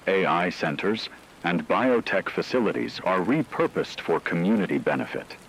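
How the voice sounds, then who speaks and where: Deep male radio anchor reading formal news script, bit of rainy static behind. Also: a bit bright, poor audio.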